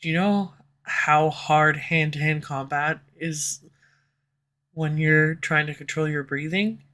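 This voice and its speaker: A woman voicing a sharp-tongued, tsundere female villain who swears and speaks sarcastically, recorded close on a studio condenser mic. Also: low voice, steady tone, slow. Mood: confessional. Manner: sarcastic, swears, turns confessional. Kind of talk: audio roleplay. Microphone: studio USB condenser mic.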